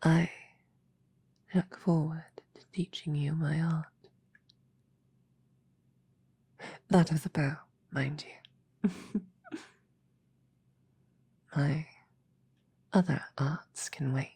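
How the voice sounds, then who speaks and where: A woman voicing an affectionate, teasing female succubus demon in a soft, intimate roleplay audio drama. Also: steady tone, heavily acted. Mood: sleepy, seductive. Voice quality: breathy, soft, low. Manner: teasing, intimate, unhurried, halting. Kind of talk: roleplay audio drama, whispered sleep-aid ASMR.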